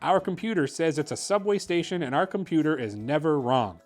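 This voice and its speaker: A man's voice: on the high side, animated, brisk, close to an everyday voice. Man reading as officious storybook mayor, music behind. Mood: smug. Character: self-assured, dismissive mayor, an adult official. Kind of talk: children's storybook character reading.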